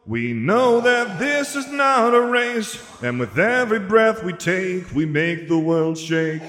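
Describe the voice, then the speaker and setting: A man's voice: sits high, big pitch swings, takes it slowly, a bit bright, voice pushed up, exaggerated voice. A man voicing a defiant young male singer who rouses a crowd with declamatory song and chants in an audiobook. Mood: defiant singing. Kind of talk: performed song and shouted rallying in an audiobook. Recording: echoey hall reverb with music behind.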